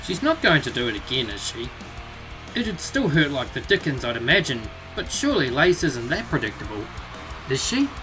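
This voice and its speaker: A man's voice: medium pitch, huge pitch swings, normal speed, over music, low-quality recording. A man playing a knowing, sardonic fighting-clan member in a visual novel, talking in a wry, conversational way.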